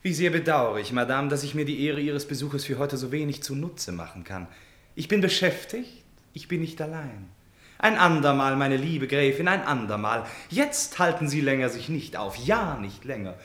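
An actor playing a busy, dismissive prince in a classical radio drama, courtly and politely condescending, in a roomy acoustic. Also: male voice, medium pitch, lively intonation, normal speed, fairly bright tone, natural voice. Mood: polite dismissal. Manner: courtly, brushing off. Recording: roomy, echoing sound.

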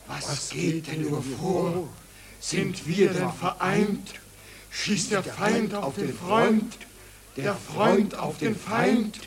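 A man's voice: sits high, extremely slow, over a noisy crowd, heavily acted. A man acting a bewildered, alarmed soldier in a rhymed radio war drama.

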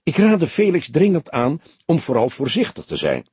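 A man voicing a woman civil servant under questioning in an audiobook, soft, careful and halting, defending herself. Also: on the high side, wildly swooping pitch, husky, no echo, pitched above the performer's own voice, heavily acted. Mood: cautious. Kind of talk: audiobook character dialogue.

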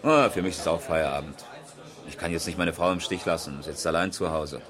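A man playing a chatty rally driver in a radio crime drama, talking casually and a little haltingly to a friend. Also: deep voice, steady tone, crowd noise, close to an everyday voice. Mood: uneasy, chatty.

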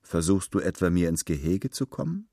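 Casting: male narrator as big swaggering young man, cocky and curt, low voice, dry close sound. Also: big pitch swings, brisk, gravelly, mellow, dry recording, exaggerated voice. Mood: suspicious.

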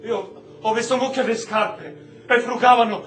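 A man's voice: very high-pitched, steady tone, normal speed, a bit bright. An actor playing a frightened Afghan male prisoner, giving theatrical testimony of his capture. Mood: terrified, agitated. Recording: echoey stage room with faint music.

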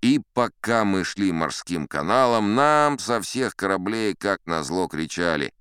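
Deep, animated old sea captain. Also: male voice, a little slow, smooth, dry recording, clean studio sound. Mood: wistful, savouring. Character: old sea captain telling tall tales, boastful, unflappable. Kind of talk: theatrical audiobook storytelling.

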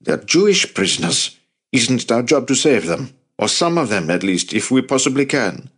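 A man narrating an audiobook plays a determined resistance fighter with a low voice. He is earnest and blunt, pressing his case with passion and persuasion. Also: moderate pace. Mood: impassioned.

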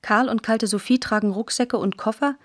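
A woman's voice: on the high side, normal speed. A woman reading literary prose for radio as a narrator, in a terse, plain-spoken way.

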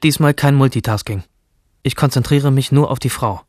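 A man's voice: medium pitch, moderate pace, a little mellow, no echo, studio quality, lightly acted. An actor playing an obsessive, rebellious male hacker from a virtual future world, narrating a radio drama in the first person. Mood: fixated, secretive. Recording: music under the voice.

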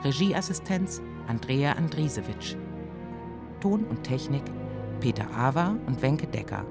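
A man's voice: mid-range voice, animated, a little slow, over loud music. A man reading scripted credits as a radio announcer.

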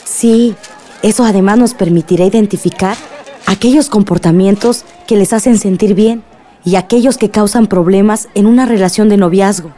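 Woman acting mellow godmother leading a workshop. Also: medium pitch, normal speed, close to an everyday voice. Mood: earnest, encouraging. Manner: organizing, earnest. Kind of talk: radio drama acting.

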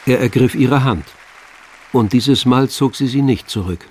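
An actor playing the narrator of a literary radio drama, telling the story in a measured, sober, intimate way. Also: male voice, medium pitch, a little mellow, some chatter behind, a little hiss or hum, natural voice. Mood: measured, sensual. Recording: music behind the narration.

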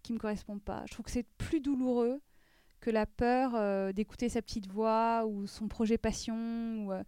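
A woman's voice: a little high, fairly even intonation, moderate pace, dark tone, clear, resonant tone, no room sound, clean studio sound. A woman in her thirties telling a personal story as an interview guest, hesitant with frequent restarts and fillers.

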